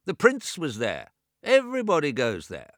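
A man doing a shrill, fussy deaf old man. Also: wildly swooping pitch, moderate pace, a bit bright, no echo, clean studio sound. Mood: fretful. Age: over 70. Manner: fretful, repetitive, nagging.

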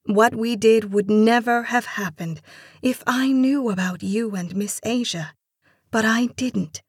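A woman voicing a meek, polite but resolute immigrant woman in an audiobook story, soft-spoken and pleading. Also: medium pitch, takes it slowly, breathy edge, no echo, studio quality, pitched above the performer's own voice. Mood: earnest, firm.

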